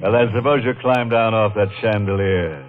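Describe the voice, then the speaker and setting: Man acting a very deep, sardonic horror host. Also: normal speed, close to an everyday voice. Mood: mocking, gleeful. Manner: mocking, punning, theatrical. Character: sardonic, macabre storytelling host, male, teasing the listeners. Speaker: host and narrator of mystery show. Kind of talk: 1950s radio horror hosting. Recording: muffled old radio recording over loud music.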